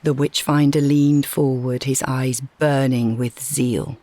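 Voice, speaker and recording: A woman reading a scripted short story as narrator, in a measured, dramatic storytelling manner. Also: very deep voice, fairly even intonation, mellow, no room sound.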